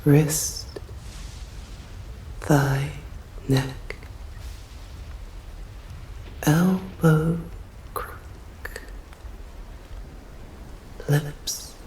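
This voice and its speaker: English-accented female vampire, voiced by a woman for ASMR roleplay: stern yet protective, hushed and formal, very unhurried, with a reverberant room sound. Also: low voice, fairly even intonation, slight background noise. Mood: hushed, tender. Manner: slow hushed whispering, formal old-fashioned phrasing.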